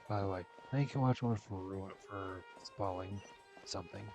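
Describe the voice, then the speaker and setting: A man giving casual, halting commentary while playing through a video game. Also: sits low. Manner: hesitant, halting asides. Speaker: gamer commenting on own playthrough. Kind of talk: casual let's play commentary. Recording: game music clearly behind the voice. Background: game music.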